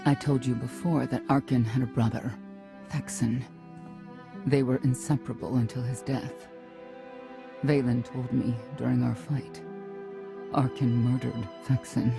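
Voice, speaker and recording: A woman's voice: a bass voice, fairly even intonation, measured pace, creaky, pauses often, exaggerated voice. An actress playing a grave, resolute middle-aged warrior mother in a space saga game, solemn and reflective. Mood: sorrowful, resolute. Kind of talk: scripted game cutscene acting. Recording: music playing underneath.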